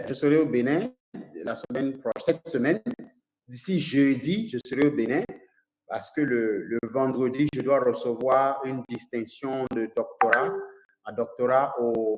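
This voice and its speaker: Nigerian man, native English speaker, coach lecturing a room; mid-pitched, halting, lots of fillers, echoey hall sound. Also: steady tone, slight background noise. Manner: halting, with many fillers and repeated words. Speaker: business consultant and coach. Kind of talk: seminar talk to a live audience.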